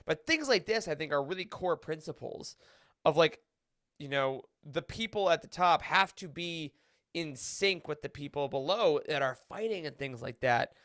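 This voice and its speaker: A man's voice: fairly high pitch, normal speed, fairly bright tone, no room sound, studio quality. A man discussing a story casually on a podcast roundtable, with an emphatic, informal way of talking. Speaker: podcast panelist.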